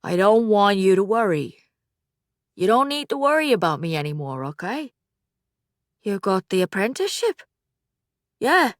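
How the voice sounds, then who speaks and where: A woman's voice: medium pitch, big pitch swings, moderate pace, long pauses, no room sound, clean studio sound, natural voice. A woman voicing a guilty eighteen-year-old son who hides his troubles behind forced cheer. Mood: guarded. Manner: hesitant, covering with forced cheer. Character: young man of eighteen, guilty and evasive.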